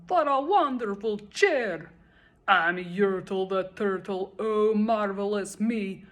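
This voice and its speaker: Lady doing a loud bossy turtle king from a kids storybook, full of himself, bit husky. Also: mid-range voice. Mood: gleeful, boastful. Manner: bellowing, imperious, self-admiring. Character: pompous, tyrannical male turtle king, loud and boastful.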